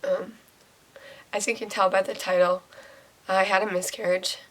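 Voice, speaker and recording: A young woman talks straight to her audience in a personal vlog, her voice nasal and raspy from a cold. She speaks at an ordinary pitch, pausing often and sounding close to tears. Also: little pitch movement, fairly bright tone, rough recording. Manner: emotional, close to tears, halting with many pauses. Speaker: vlogger.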